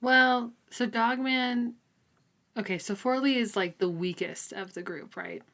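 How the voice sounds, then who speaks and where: A woman's voice: a little high, a little slow, a bit bright. Casual woman co-hosting a book discussion. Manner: informal, conversational. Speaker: book club co-host.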